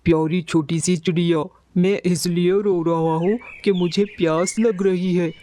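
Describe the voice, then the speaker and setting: A man playing a huge, thirsty, sorrowful mango tree in a children's cartoon, speaking in a slow, pleading manner. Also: high-pitched, steady tone, mellow, a little hiss or hum. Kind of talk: Hindi children's cartoon story acting.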